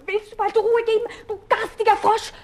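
Casting: fretful storybook princess, very high girlish voice, spoiled, plaintive. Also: flat delivery, moderate pace, darkish tone. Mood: annoyed. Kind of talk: fairy-tale radio play acting.

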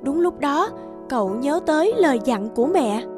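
High-voiced, expressive woman narrator. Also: normal speed. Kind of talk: scripted fairy-tale narration. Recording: music behind the voice.

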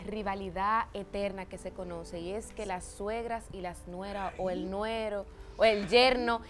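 Female co-host, brisk and curious, mid pitch, faint music behind. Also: fairly even intonation. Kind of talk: TV talk show hosting.

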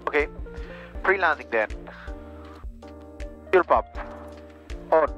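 A man's voice: fairly high pitch, lively intonation, takes it slowly, a bit bright. A young man, a student pilot making cockpit checklist callouts on a headset. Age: young adult. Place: small plane cockpit in flight. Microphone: aviation headset boom mic. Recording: muffled aviation headset intercom, music behind.

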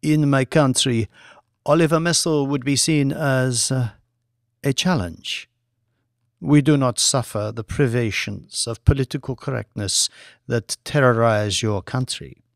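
A man playing a slow, stately Saudi sheikh. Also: mid-range voice, vocal fry, dry recording, clean studio sound. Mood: courtly, measured. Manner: formal, measured, deliberate. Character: wealthy, powerful Saudi sheikh, courteous and subtly menacing.

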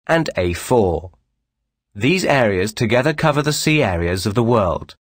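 Dry, low-pitched man narrating instructions. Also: dry recording.

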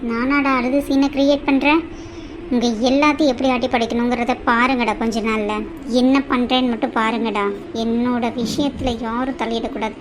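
High-voiced, scheming young daughter-in-law played by a woman. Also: a little fast, noisy background, natural voice. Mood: scheming, menacing. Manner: indignant, contemptuous, muttering threats. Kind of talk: family melodrama acting.

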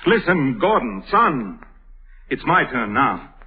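An actor playing a proud, emotional middle-aged scientist in a vintage radio drama, theatrical and intense. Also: male voice, medium pitch, very animated, slow pace, slight background noise. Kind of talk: 1930s radio acting. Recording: tinny old radio broadcast.

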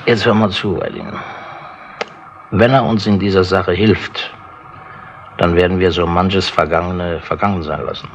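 An actor playing a shrewd, patronising older London chief inspector in a wry, colloquial way, in 1960s radio drama style. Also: male voice, low-pitched, muffled recording. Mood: coaxing, firm. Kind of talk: 1960s German radio crime drama acting. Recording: noisy 1960s radio tape with clicks.

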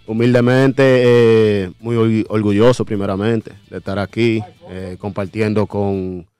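A man's voice: lowish voice, level tone, unhurried, mellow, soft background music. A Dominican man in his thirties, a guest artist chatting humbly in a radio studio, with lots of fillers and restarts. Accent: Dominican Spanish. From Dominican Republic. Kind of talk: casual interview chat. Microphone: desk mic with headphones.

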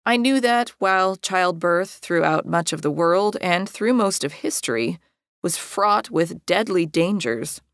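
A middle-aged American woman reading her own personal memoir as an audiobook in a measured, articulate way. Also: on the low side, fairly bright tone, no room sound, clean studio sound. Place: dry recording studio.

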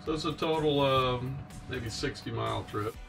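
A man's voice: mid-range voice, moderate pace, creaky, far from the mic, over music. A middle-aged man casually recounting a sailing trip as a vlog host, recorded in a small boat cabin. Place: small wood-panelled sailboat cabin.

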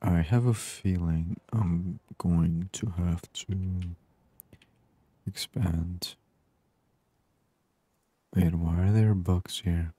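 Very deep, soft-spoken male ASMR gamer. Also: steady tone, slightly rough, no room sound. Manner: murmured, unhurried, reading on-screen text aloud. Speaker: gaming channel host.

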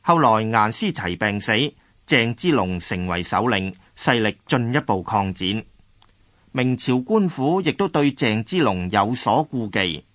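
A man's voice: lowish voice, big pitch swings, measured pace, fairly bright tone, no room sound, studio quality. A man with a Hong Kong Cantonese accent narrating a scripted history radio drama.

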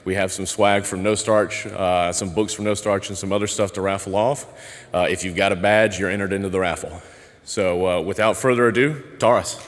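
Male organizer at the mic, relaxed and halting, low voice, long hall reverb. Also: a little hiss or hum. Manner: casual, filler-heavy announcing. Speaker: conference organizer introducing the speaker. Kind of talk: event announcements and introduction. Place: large hotel ballroom.

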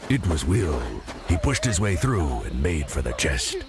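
A man with a gravelly voice narrating a scripted adventure audio drama as a storyteller. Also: a bass voice, big pitch swings, unhurried, crowd noise. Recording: loud music under the voice.

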